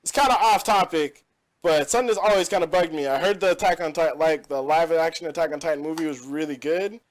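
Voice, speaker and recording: A man's voice: fairly high pitch, quick pace. A young man hosting a casual gaming podcast, rambling and opinionated with lots of fillers. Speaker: podcast host. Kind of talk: casual chat.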